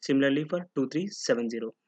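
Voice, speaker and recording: A man narrates a software tutorial as an instructor, explaining step by step and often posing questions that he then answers. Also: mid-range voice, quick pace. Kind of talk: screen-recorded tutorial narration. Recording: noticeable background noise with clicking.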